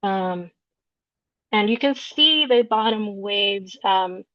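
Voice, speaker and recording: A woman from Peru, an artist, walking through slides of her work in an explanatory, unhurried way, searching for words. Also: mid-range voice, no echo. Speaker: visual artist. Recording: dull lo-fi recording, dry.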